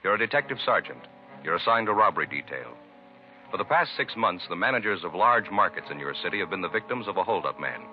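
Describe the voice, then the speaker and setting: A dull vintage radio recording of a male announcer. His lowish voice swoops expressively as he reads formal, emphatic copy in 1950s style. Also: very animated, quick pace, over music.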